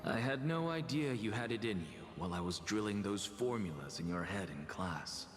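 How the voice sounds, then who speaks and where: An actor playing a proud, wry professor in a video game, theatrical and measured, with a sardonic fondness. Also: male voice, lowish voice, animated, close to an everyday voice. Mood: wry, fond. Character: proud, wry male professor, dryly fond. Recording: long reverb, music behind.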